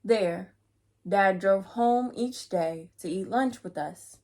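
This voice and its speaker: Female children's read-aloud host, mid-pitched, slow delivery, playful and chatty with young listeners. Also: a little mellow.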